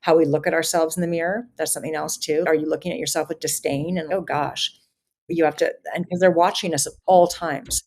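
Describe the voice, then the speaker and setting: A woman's voice: deep voice, steady tone, brisk, a little mellow. A woman in her fifties, a guest on a podcast interview, talking earnestly in long, run-on thoughts. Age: 50s. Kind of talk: podcast interview, casual conversation.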